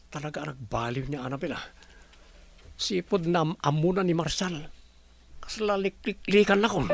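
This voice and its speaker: An actor playing a lovesick, scheming young man with a Filipino accent in a radio drama. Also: a little high. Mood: brooding. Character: lovesick, scheming young male suitor seeking a business partnership.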